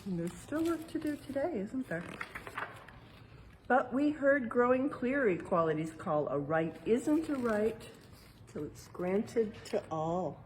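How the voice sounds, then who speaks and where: A woman reading a picture book aloud in a museum exhibit like an educator, pausing for casual explanations and checking in with little tag questions. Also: a little high, animated, darkish tone, slight background noise, rough recording. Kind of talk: read-aloud storytime with casual explanatory asides.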